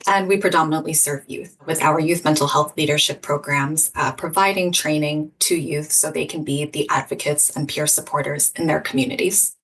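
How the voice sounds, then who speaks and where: A woman founder giving a clear, purposeful self-introduction on a virtual panel. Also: sits low, fairly even intonation, normal speed, few pauses, a bit bright, recorded from a distance, rough recording.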